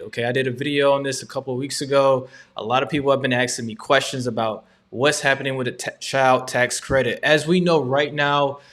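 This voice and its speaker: A man's voice: medium pitch, fairly bright tone. Upbeat man hosting a live Q&A. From Georgia, US. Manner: upbeat, explanatory, frequent ums. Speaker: host. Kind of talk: live Q&A stream.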